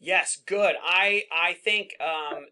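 A middle-aged man, a pathologist, teaching residents in a relaxed, conversational way and reassuring them often. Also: high-pitched, slow, smooth. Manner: conversational, reassuring, many fillers like "kind of" and "right?". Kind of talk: informal teaching at a slide session.

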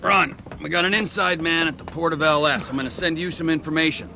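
An actor playing a volatile, crude middle-aged male criminal in a video game, mocking and domineering, swinging from mock-gentle to barking. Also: fairly high pitch, steady tone, fast talker, gravelly, slight background noise, heavily acted. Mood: menacing, commanding. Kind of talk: video game cutscene acting.

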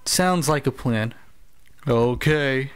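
A man's voice: medium pitch, slow, a little hiss or hum, lightly acted. A man voicing a grumbling, broke male cartoon show announcer who whines, sighs and makes sarcastic complaints. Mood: apologetic. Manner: whiny, complaining and sarcastic, with many sighs and groans. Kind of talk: comedic cartoon voice acting.

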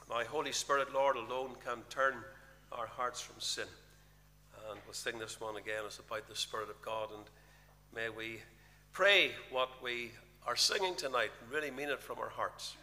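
A man's voice: mid-range voice, pushed and bright. An older man leads a congregation in earnest prayer and gives service announcements from a pulpit. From Northern Ireland. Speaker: minister leading a church service. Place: large church hall with a congregation. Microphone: lectern mic at a pulpit. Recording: church hall reverb.

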